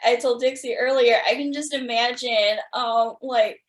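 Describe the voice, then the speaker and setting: A woman's voice: on the high side, steady tone, moderate pace. A woman hosting a podcast, chatting casually and enthusiastically with her guests. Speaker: podcast host interviewing guests. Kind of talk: casual fan interview chat. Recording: roomy, echoing sound.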